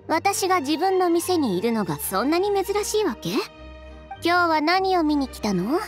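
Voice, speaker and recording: An actress playing a confident, sharp-tongued young fashion designer in a video game, brisk, matter-of-fact and dryly teasing. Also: female voice, very high voice, very animated. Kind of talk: video game voice acting in Japanese. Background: game music.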